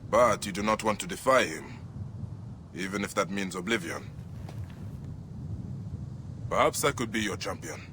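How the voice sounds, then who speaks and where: A man playing a steady, kindly ancient Egyptian warrior and father in a video game, speaking in a measured, reassuring way. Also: lowish voice, noisy background, natural voice. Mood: calm, encouraging. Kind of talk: video game cutscene acting.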